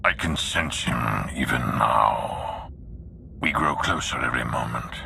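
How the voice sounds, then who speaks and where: A grave dark lord in a space-opera game. The voice is extremely low with a hard, forceful edge, and he speaks with solemn authority. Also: a little slow, slight background noise, heavily acted. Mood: grim resolve. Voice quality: very deep, gravelly. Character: grave, commanding Sith lord, male warship commander. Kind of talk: video game cutscene acting.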